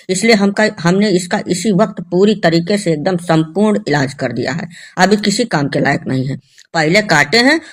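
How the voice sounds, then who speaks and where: Guy doing a worked-up cartoon villager, highish voice, stubborn ranting, rural north indian hindi accent. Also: fairly bright tone. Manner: ranting, emphatic, rustic. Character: agitated, stubborn village man arguing at police station. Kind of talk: comedy cartoon dialogue.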